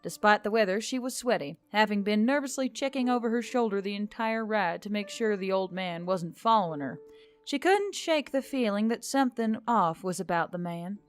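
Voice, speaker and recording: A woman reading scripted fiction as an audiobook narrator. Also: medium pitch.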